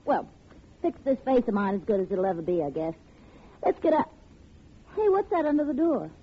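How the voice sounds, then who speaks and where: Husky wisecracking chorus girl. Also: fairly high pitch, sing-song, moderate pace. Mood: wry, teasing. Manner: slangy, sardonic wisecracking. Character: wisecracking, worldly-wise chorus girl, older than her roommate. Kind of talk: 1940s radio drama acting. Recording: muffled old radio broadcast recording.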